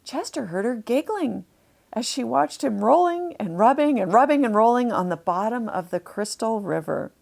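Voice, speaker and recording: A woman narrating a children's storybook aloud, deliberately and expressively, lingering on key words. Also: on the high side, expressive, gravelly, darkish tone. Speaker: author reading a children's story.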